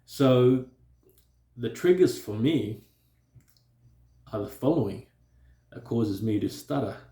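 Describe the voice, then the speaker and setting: A man's voice: mid-range voice, expressive, slow pace, mellow, echoey room. A man who stutters, talking reflectively to his viewers in a hesitant way, with many fillers and restarts. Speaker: vlogger. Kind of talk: reflective personal talk to camera.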